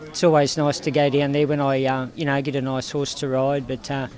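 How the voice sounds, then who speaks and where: A man's voice: medium pitch, little pitch movement, brisk, a little mellow. An Australian man from the Hunter Valley, a jockey, talking in an easygoing, hesitant way after a race. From Hunter Valley, New South Wales. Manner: easygoing, hesitant with many ums. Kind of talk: post-race interview.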